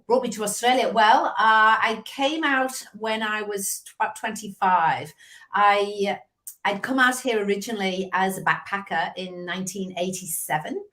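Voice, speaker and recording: A woman's voice: medium pitch, normal speed. Chatty Liverpool-accented woman in her fifties. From England. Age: 50s. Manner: chatty storyteller, lively asides. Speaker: sales coach guest. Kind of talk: casual interview chat. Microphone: video call.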